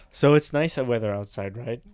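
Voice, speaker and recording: A man co-hosting a casual comedy podcast, talking in a crude, excitable way with lots of swearing. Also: mid-range voice, a little slow, smooth, no echo. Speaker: podcast co-host.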